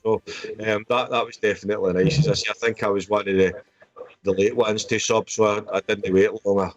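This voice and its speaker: Scottish male author, low voice, loose rambling panel chat, dull dry call sound. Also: fairly even intonation, brisk, dry recording. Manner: rambling, repeats stock phrases. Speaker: indie horror author and anthology publisher. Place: remote group video chat.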